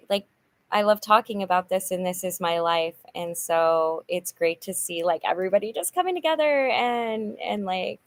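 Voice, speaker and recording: A middle-aged woman hosting a casual livestream chat, upbeat and chatty, with many fillers and restarts. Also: mid-range voice. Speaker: channel host, nonprofit volunteer. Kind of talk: casual livestream chat reading viewer comments.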